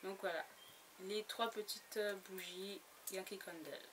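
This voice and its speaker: A woman's voice: medium pitch, steady tone, measured pace. A woman chatting casually about her latest book haul, giggly and full of fillers and restarts. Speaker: book blogger. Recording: noisy home recording.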